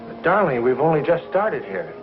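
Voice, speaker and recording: An actor playing a patient, affectionate pioneer husband in 1950s television western style. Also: male voice, on the high side, sing-song, moderate pace. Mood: reassuring. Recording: dull 1950s TV soundtrack with music.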